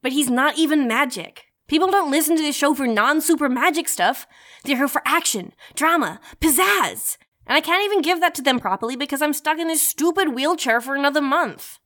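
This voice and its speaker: A self-important young female superhero with a high voice, hosting her own show in an audio drama. She talks in melodramatic, mock-epic flourishes and drops into slangy, brash chatter. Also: animated, brisk, fairly bright tone. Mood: whiny, impatient. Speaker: host of an in-story news show.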